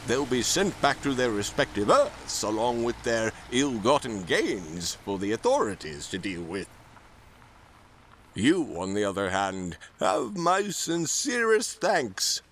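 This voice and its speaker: A man playing a kindly, forgiving old Santa Claus in an audio drama, speaking in a measured, formal, avuncular way. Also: medium pitch, sing-song, fairly bright tone, slight background noise, heavily acted. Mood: grateful.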